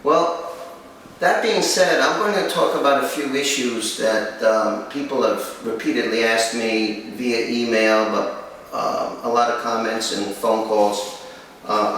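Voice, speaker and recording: A man presenting and interviewing for a dog training video as host, with a firm, instructive manner and short, paused phrases. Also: medium pitch, a bit bright. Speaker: kennel owner and host. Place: room in a home. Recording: echoey room, distant mic, faint noise.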